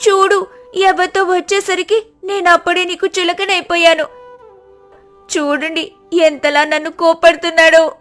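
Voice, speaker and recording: A woman voicing a silly, melodramatic village wife who wails and complains loudly. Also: high voice, flat delivery, moderate pace, soft background music. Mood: tearful. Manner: wailing, melodramatic.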